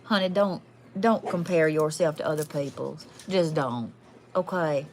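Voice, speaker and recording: A woman's voice: sits low, a little slow, a little mellow, slight background noise, voice pushed down. A woman playing a sassy, foul-mouthed, folksy Southern woman with a twang, chatting casually as a livestream host. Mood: reassuring, blunt. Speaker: livestream host doing readings. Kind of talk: casual livestream chat in character.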